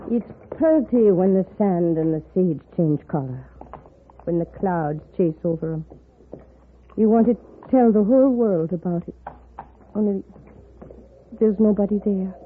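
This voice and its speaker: A woman's voice: medium pitch, slightly rough. An actress playing an anxious, wistful French-accented lady in a 1950s radio western, with hesitant, formal phrasing. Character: anxious, wistful foreign lady in the Old West. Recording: noisy, tinny 1950s radio recording.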